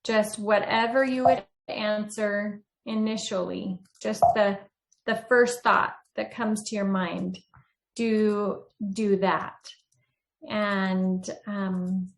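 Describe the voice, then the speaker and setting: A woman's voice: medium pitch, little pitch movement, takes it slowly, creaky, low-quality recording. A woman as an interview guest, explaining steps in an instructive, encouraging way. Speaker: guest trainer. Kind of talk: interview answers.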